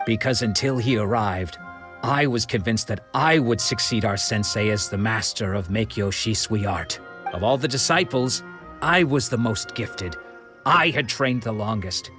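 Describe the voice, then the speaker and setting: A man voicing a middle-aged former sword disciple who tells his past in a measured, reflective way, with soft music behind. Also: fairly low pitch. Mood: rueful. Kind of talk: video game voice acting.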